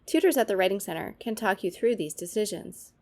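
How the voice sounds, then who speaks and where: A woman's voice: mid-range voice, lively intonation, a little fast, a little mellow. A woman presenting scripted instructional tips, addressing the viewer in an instructive manner.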